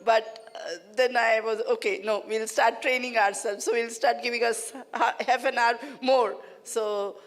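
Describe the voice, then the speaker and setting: A woman's voice: fairly high pitch, steady tone, measured pace, few pauses. A woman with an Indian English accent, moderating a conference session in a chatty, hesitant way. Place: conference hall. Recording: reverberant hall.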